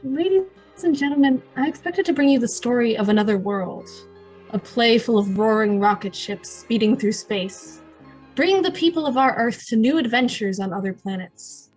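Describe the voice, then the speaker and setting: A woman announcer narrating an old-time radio drama in a solemn, deliberate way with dramatic pauses. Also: a little high, animated, darkish tone, rough recording. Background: music.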